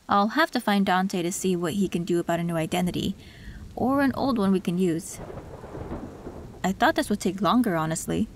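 A woman voicing a gentle young tech fixer in an audio roleplay, soft and reflective. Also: medium pitch, a little hiss or hum. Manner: soft, soothing, reflective with small laughs. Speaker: voice actor.

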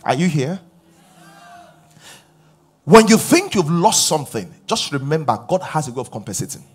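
Pastor, man in his forties, husky, pitch leaps around while preaching on a big stage, faint music under. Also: normal speed. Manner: animated preaching, rising loud on key points, asking the crowd questions. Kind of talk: sermon. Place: large church stage with live audience.

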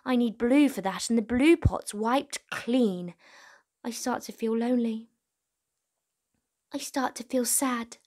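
A woman's voice: fairly high pitch, slow, pauses often, darkish tone. A woman in her twenties hosting a children's storytime, reading and talking to young viewers in an encouraging way. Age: 20s. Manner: encouraging, addressing children directly. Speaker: children's storytime host and reader.

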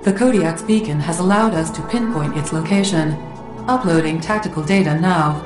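Game military computer, woman performer: calm, low-pitched female announcer, emotionless and clipped, with clear echo. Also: moderate pace, over music, lightly acted. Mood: impassive. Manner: clipped, emotionless, formal status reports. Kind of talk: scripted game cutscene announcement.

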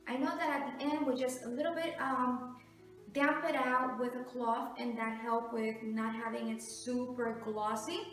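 A middle-aged woman with a high voice talks casually to the camera, showing viewers around her house. The sound is very echoey, picked up by a camera microphone some distance away in a big open hallway. Her delivery is chatty and demonstrative, in the style of a home renovation vlog. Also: level tone, unhurried, a bit bright. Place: large two-storey house hallway and stair landing. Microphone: camera mic a few metres away.

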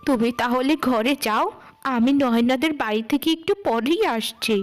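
Woman as a high-voiced, nagging cartoon mother. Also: moderate pace, lightly acted. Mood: matter-of-fact. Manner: nagging, fed up. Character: scolding, exasperated village mother of three daughters. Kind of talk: cartoon story acting.

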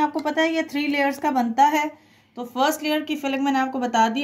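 A woman presents a home recipe step by step, conversational and instructional, mixing in English words. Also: high voice. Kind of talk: step-by-step cooking tutorial voice-over.

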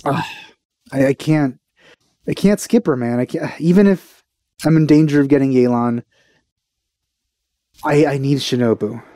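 A young man talks informally to his viewers as a reaction host. His voice is mellow and dry, picked up close on a headset. He sounds enthusiastic while keeping it casual. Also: mid-range voice, unhurried, long pauses, dry recording. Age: young adult. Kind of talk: casual chat reacting to a game trailer. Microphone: headset microphone.

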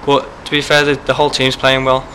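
Young male footballer, post-match chat, quick and even, close handheld mic, faint hiss. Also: mid-range voice, low-quality recording. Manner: quick, steady answers with many fillers. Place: press backdrop, indoors. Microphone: handheld mic held close.

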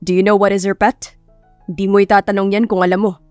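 A woman's voice: medium pitch, level tone, a little fast, a little mellow, no room sound, close to an everyday voice. A woman voices a grumbling, dramatic Filipino boss man in an audio romance. He teases and complains in a dry recording over faint music. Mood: exasperated, teasing. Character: grumpy, teasing adult male boss, complaining dramatically.

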